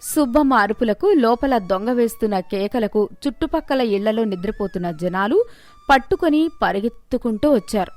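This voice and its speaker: Higher-pitched woman narrating a scripted story. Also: lively intonation, moderate pace, a little mellow, no room sound, faint music behind.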